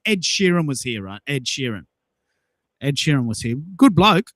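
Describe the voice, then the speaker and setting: A man's voice: medium pitch, very animated, moderate pace, fairly bright tone, no echo. A man hosting a casual audio chat, telling personal anecdotes in an emphatic way and repeating himself for effect. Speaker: host of a social audio show.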